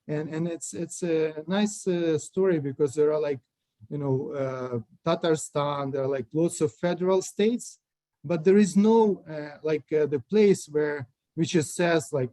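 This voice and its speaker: Ukrainian-accented man, halting, dark dry voice. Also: on the high side, no room sound. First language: Russian. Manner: halting, many fillers and restarts, informal phrasing. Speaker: guest. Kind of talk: casual explanation over a video call. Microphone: video call.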